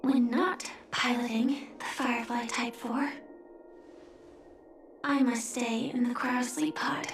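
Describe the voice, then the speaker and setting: Grieving young female soldier, high voice, soft and breathy, solemn, game acting, roomy echo. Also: fairly even intonation, slow pace, pauses often. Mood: solemn, resigned. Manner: solemn, restrained, reflective. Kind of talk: dramatic video game voice acting. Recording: roomy echo, music underneath. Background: music.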